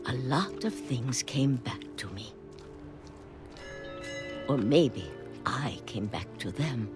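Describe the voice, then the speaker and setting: An actress playing a wistful old great-grandmother narrating her memories slowly in a video game, with a creaky, breathy voice. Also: rumbling low, animated, full character voice. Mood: wistful, eerie. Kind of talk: slow scripted game narration. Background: game music.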